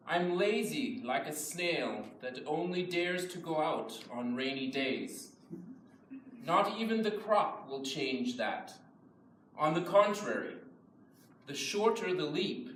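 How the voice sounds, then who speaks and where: A man reciting translated poems in a measured, careful reading. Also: a little high. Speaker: reader of English translations. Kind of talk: poetry recitation. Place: event room before an audience, picked up from a distance. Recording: echoey room, distant mic, faint noise.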